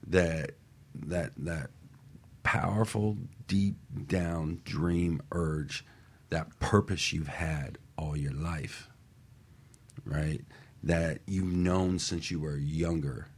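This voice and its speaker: A man co-hosting a motivational talk radio show, speaking in an emphatic, encouraging way with a husky voice. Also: deep voice, fairly even intonation, drawn-out words.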